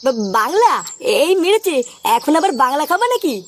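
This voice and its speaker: A man's voice: extremely high pitch, very animated, moderate pace, a little hiss or hum. A man voicing an eager, cheeky young sidekick in a comic cartoon dub, casual and full of questions. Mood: curious.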